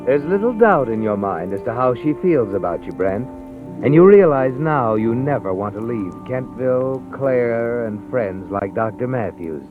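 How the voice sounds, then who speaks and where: Brief: brooding suspense narrator, vintage radio drama, animated pitch, thin phone-like sound over faint music. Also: male voice. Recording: tinny old radio broadcast, faint music.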